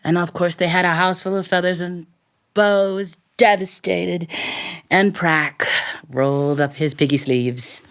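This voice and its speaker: A woman telling a story live as a storyteller, with a theatrical, expressive delivery. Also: lowish voice, a little slow, clean tone. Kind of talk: live storytelling performance for an audience.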